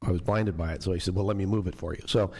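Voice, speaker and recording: A man's voice: deep voice, fairly even intonation, very fast, a little mellow, husky. A man on a city council, asking a rambling question with many fillers. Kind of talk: questioning at public meeting. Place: council chamber.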